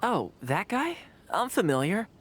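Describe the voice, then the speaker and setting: A woman's voice: mid-range voice, sing-song, unhurried, husky. An actress playing a poised, obliging local woman in a video game, composed, knowing and helpful. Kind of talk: video game cutscene acting.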